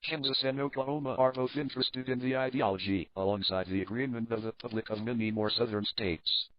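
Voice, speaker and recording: A man acting as a sober news anchor with a medium-pitched voice. He reads a scripted report formally and with measure, on a dry, slightly muffled lo-fi recording. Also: brisk, no room sound, lightly acted. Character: sober adult male news anchor of alternate-history crisis. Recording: dull lo-fi narrow band.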